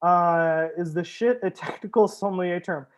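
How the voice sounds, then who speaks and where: Fields: Sex: man. Pitch: high. Role: sommelier host. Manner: laid-back, joking. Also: moderate pace. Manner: chats with viewers, answers comments. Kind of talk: casual live-stream tasting chat.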